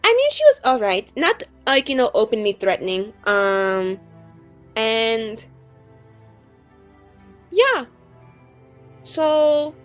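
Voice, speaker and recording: A woman's voice: fairly high pitch, sing-song, takes it slowly, pauses often, faint music behind. A woman playing a bubbly, vain, scatterbrained young adventurer in a tabletop roleplay, rambling breezily with lots of "like". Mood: concerned, airy. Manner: rambling, heavy use of "like", breezy.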